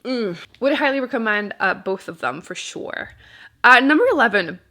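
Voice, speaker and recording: A woman's voice: fairly high pitch, animated, moderate pace, pushed and bright. A woman book vlogger talking casually and informally to camera, often rambling and interrupting herself with dry understatement. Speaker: book vlogger and channel host. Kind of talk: casual chat to camera about books.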